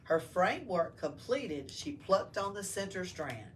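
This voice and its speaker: A woman's voice: lowish voice, very animated, measured pace, distant mic. A woman reading a story aloud to children as a library storyteller, explaining it in simple words. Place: library room. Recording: faint background noise.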